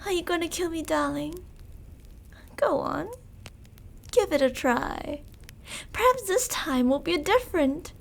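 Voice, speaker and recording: A woman's voice: extremely high pitch, animated, a little slow, gravelly, long pauses, heavily acted. A woman playing a sadistic, possessive, seductive vampire commander in an ASMR roleplay, speaking theatrically and tauntingly with terms of endearment. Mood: taunting, amused. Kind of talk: ASMR roleplay, dramatic monologue.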